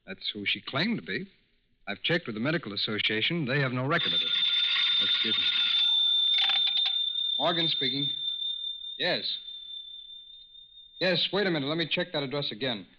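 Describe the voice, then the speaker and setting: An actor playing a shrewd, businesslike federal agent with a clipped, matter-of-fact delivery in a 1940s radio drama. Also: male voice, medium pitch, expressive, normal speed, pauses often, very noisy, lightly acted. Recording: muffled 1940s radio recording.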